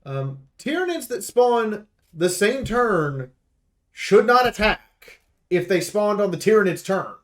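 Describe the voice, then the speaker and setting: A man with a fairly high voice hosts a game preview, talking off the cuff. He is blunt and emphatic, laying out complaints in an aggrieved way. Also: sing-song, slow pace. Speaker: video game preview host. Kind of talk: unscripted game review commentary.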